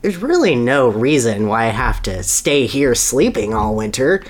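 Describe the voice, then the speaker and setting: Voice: medium pitch, very animated, measured pace. A reader voicing an eager, pleading young boy hedgehog in a storybook. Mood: pleased, scheming.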